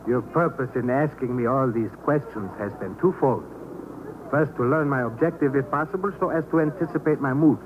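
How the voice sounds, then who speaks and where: An actor playing a polite, menacing saboteur in 1950s radio thriller style, speaking formally and precisely with cool threat. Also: male voice, medium pitch, normal speed, close to an everyday voice. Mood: cool, controlled threat. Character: polite, menacing male saboteur with a briefcase bomb. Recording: dull, noisy old radio recording.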